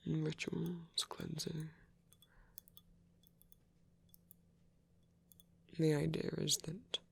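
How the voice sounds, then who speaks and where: A man playing a gentle vampire priest in an intimate ASMR roleplay, soft and breathy, speaking in hesitant, measured, genteel phrases. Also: a little high, exaggerated voice. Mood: gentle, musing. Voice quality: breathy, whispery. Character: gentle, soft-spoken vampire priest, male, pacifist, tender.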